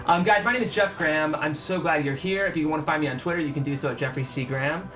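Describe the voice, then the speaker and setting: Higher-voiced man hosting a script read. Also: quick pace, fairly bright tone, faint music behind. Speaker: host and script narrator. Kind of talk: show presenting and scripted stage-direction narration.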